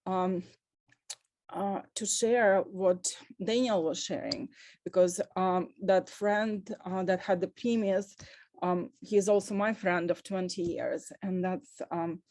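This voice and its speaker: Woman in her 50s on a video call, pausing a lot, mellow tone, very dry. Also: fairly low pitch, steady tone, no echo. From Russia. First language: Russian. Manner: earnest and halting, with many pauses. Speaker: panelist. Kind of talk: panel talk on a video call.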